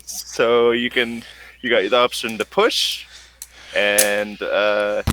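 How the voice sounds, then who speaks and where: A man casually narrating a tabletop roleplaying game as game master, halting and thinking aloud in an informal way. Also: lowish voice, little pitch movement, sharp tone, slight background noise.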